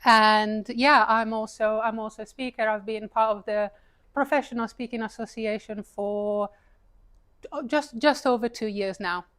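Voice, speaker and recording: A woman's voice: on the high side, steady tone, measured pace, a bit bright, smooth. A woman with an Italian accent, in her thirties, giving a chatty, self-deprecating live talk to an audience, with frequent fillers and laughs. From Italy. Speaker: paid advertising speaker. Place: event room with an audience, seated.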